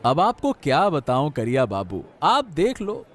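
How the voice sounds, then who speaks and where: A man's voice: on the high side, wildly swooping pitch, unhurried, some chatter behind. A man voicing a humble, pleading village fishmonger in a cartoon story, deferential and coaxing. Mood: eager, coaxing. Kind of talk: Hindi cartoon moral story dialogue.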